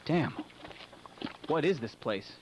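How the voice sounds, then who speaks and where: An actor playing an angry, determined police officer who mutters tensely to himself on an analog horror tape. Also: male voice, on the high side, animated, slow pace, a little mellow, a little hiss or hum, poor audio. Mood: wary.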